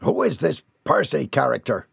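A man voicing a gruff, indignant wealthy father in a storybook, formal and stern. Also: on the high side, sing-song, moderate pace, a bit bright, no echo, clean studio sound, voice pushed up, full character voice. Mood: accusing.

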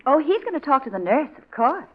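An actress playing a pert, jealous detective's secretary in a 1940s radio drama, arch and teasing in manner. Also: female voice, sits high, big pitch swings, quick pace. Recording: muffled old radio drama recording.

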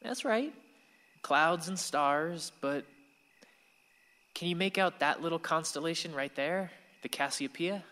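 An actor playing an earnest, bookish stargazer in a radio play. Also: male voice, fairly high pitch, unhurried, long pauses, bright, forceful tone. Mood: gentle eagerness. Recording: very echoey reverberant room or hall.

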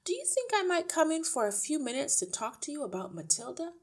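A woman's voice: sits high, very animated, brisk, voice pushed up. A woman voicing a slim, polite but resolute woman schoolteacher in a storybook, speaking earnestly and firmly. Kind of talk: acted audiobook dialogue.

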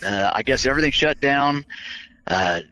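A man's voice: medium pitch, lively intonation, normal speed, pushed and bright. A man with a scratchy voice, telling stories with self-mocking humor in a casual chat. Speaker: guest. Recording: dull lo-fi audio.

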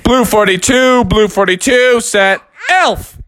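Young boy frog, woman putting on, higher voice, flustered, insistent, dry sound. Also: very animated, slow, a bit bright, dry recording, full character voice. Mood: eager. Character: excitable little boy frog.

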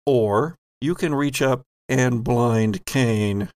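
A man hosting and narrating a tabletop horror game in a measured, theatrical way. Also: medium pitch, darkish tone, clean tone, dry recording, clean studio sound. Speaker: game master and podcast host.

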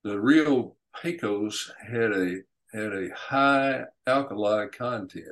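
A man, an author and guest, telling history unscripted in a halting, deliberate way with frequent pauses and hesitations. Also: lowish voice, fairly bright tone.

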